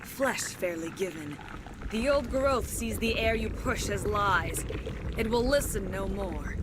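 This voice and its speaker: An actress playing an alien woman in a video game, speaking in formal, solemn, measured declarations. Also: mid-range voice, animated, background noise. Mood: cold, imperious. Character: alien woman, cold imperious mouthpiece of an ancient plant, later freed and remorseful. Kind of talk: dramatic video game cutscene acting.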